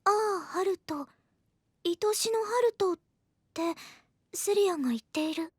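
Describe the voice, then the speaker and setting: Very high, placid anime girl. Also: dry recording, studio quality. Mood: placid, teasing. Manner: calm, unhurried recitation. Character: placid, guileless anime girl reading love lines aloud. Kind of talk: Japanese anime audio drama acting.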